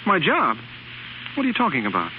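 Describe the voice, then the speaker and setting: A man's voice: a little high, huge pitch swings, brisk. A man acting a proud, stubborn, exasperated young husband in a 1930s radio drama. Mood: incredulous. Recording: muffled, hissy 1930s radio recording.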